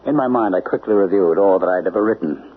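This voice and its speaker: A man's voice: low-pitched, quick pace, husky. An actor playing a brooding male writer of supernatural stories, narrating a 1970s radio drama in an introspective, questioning way. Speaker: narrator and lead character, a writer. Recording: tinny, lo-fi old radio broadcast with music.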